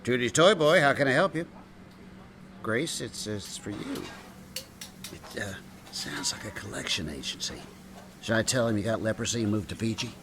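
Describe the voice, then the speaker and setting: An actor as wisecracking restaurateur, animated delivery. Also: male voice, mid-range voice, normal speed, vocal fry, pauses often, background noise. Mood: breezy, teasing. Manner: wisecracking, theatrical, teasing with endearments. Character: wry, big-hearted restaurateur and ex-bartender, teasing and affectionate. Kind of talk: comedic radio drama acting.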